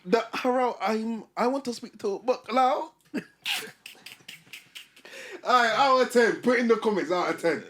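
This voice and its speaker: A man's voice: extremely high pitch, unhurried, pauses often, a bit bright. A young man, hosting a podcast with teasing banter. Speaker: podcast host. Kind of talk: casual chat.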